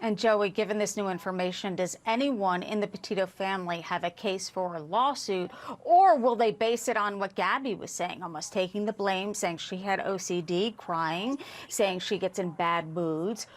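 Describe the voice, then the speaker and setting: A woman's voice: medium pitch, normal speed. Woman hosting a TV news panel. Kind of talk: broadcast news discussion.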